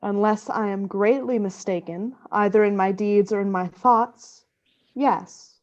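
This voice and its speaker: A woman's voice: medium pitch, a little slow, highs cut off, close to an everyday voice. A woman playing an intense, obsessive female scientist in a radio play, with earnest, formal, dramatic delivery. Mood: earnest, fervent. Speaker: voice actor.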